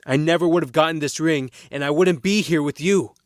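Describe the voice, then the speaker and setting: A man's voice: a little high, a little fast, no echo, studio quality, natural voice. A man playing a loyal, defensive working-class teenage boy in an audio drama, speaking earnestly and firmly. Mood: guarded, regretful.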